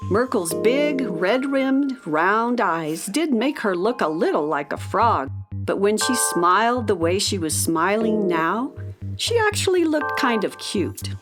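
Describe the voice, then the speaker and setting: A woman's voice: medium pitch, sing-song, slow pace, music in the background. A woman narrator reading a scripted children's story.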